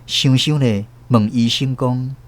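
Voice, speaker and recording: A man narrating a radio drama in a slow, expressive storytelling manner. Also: mid-range voice, natural voice.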